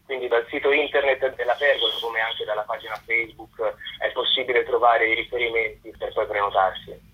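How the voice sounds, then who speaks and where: A man's voice: medium pitch, fairly even intonation, fairly quick, sharp tone, breathy edge. A man interviewed as a guest, explaining practical details in a conversational way over the telephone. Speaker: interview guest, theatre representative. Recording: muffled telephone line.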